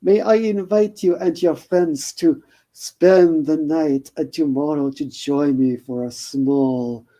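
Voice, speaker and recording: A man reading a parody story aloud, voicing a seductive female spider hostess in a purring, drawn-out, theatrical way. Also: mid-range voice, warm tone, close to an everyday voice.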